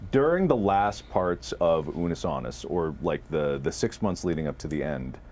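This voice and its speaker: Animated, profane man telling casual stories. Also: a bass voice, moderate pace. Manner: animated, profane, exaggerating for effect. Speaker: video creator and co-host, recounting past productions. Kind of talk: casual conversational storytelling.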